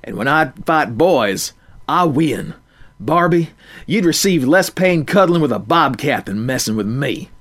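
A man's voice: a little high, lively intonation, unhurried, a bit bright, exaggerated voice. A man voicing a tough fourteen-year-old country girl who talks in a rural drawl with folksy sayings. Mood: defiant.

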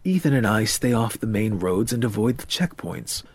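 Man playing an earnest, husky young man. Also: on the low side, expressive, moderate pace. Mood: reassuring. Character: twenty-year-old earnest, restless lovestruck young man.

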